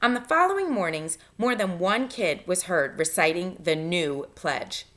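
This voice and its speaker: Bright-voiced woman teacher reading aloud. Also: fairly low pitch, unhurried. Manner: instructive, expressive reader. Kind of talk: read-aloud lesson, narration with spoken instructions.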